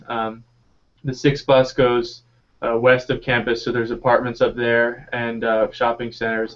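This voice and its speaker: Flat-voiced young man explaining things. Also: mid-range voice, muffled recording. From North Carolina, US. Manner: steady, explanatory, with many "uh" fillers. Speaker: graduate student panelist. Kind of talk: casual live Q&A panel.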